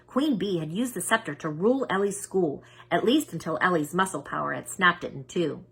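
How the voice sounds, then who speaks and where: A woman reading a children's story aloud as narrator, expressive and emphatic. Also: lowish voice, brisk, creaky, breathy edge. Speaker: children's book reader.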